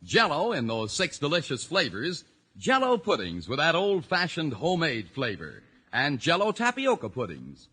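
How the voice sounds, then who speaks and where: A man's voice: fairly high pitch, huge pitch swings, normal speed, slightly rough. A man announcing a 1940s radio show in a polished, emphatic scripted style. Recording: old radio broadcast with loud music. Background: loud music.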